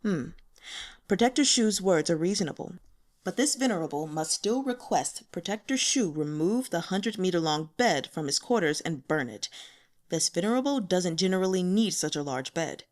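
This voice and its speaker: A woman voicing an indifferent, commanding male demon lord with formal, measured delivery in a fantasy audiobook. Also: on the low side, animated, natural voice. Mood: cool command.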